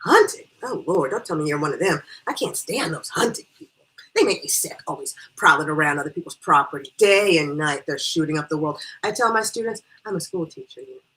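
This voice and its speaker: A woman voicing a chatty, excitable, nosy female schoolteacher from a small town in a storybook reading. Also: deep voice, a little fast, rough recording. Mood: indignant. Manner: gushing, gossipy, stammers when flustered.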